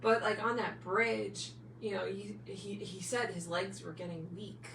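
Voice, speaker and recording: A woman's voice: medium pitch, fairly even intonation, unhurried, vocal fry, far from the mic. An American woman from New Jersey chatting casually and earnestly as a paranormal podcast co-host, with emphatic phrasing. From New Jersey, United States. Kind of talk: casual chat. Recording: echoey room, off-mic, faint background hum.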